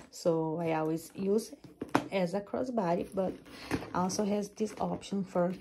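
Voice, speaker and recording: A Brazilian woman in her thirties with a Portuguese accent, reviewing a handbag in halting English and laughing often, recorded on a phone indoors. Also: lowish voice, fairly even intonation, noisy background. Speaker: purse reviewer. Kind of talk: casual product review. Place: small craft room indoors. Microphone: phone camera.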